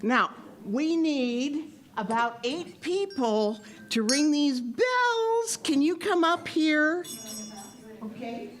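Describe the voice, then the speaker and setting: A woman's voice: sits high, expressive, drawn-out words. A woman performer at a live theater show, giving young volunteers simple, coaxing, step-by-step instructions. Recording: live room with audience noise.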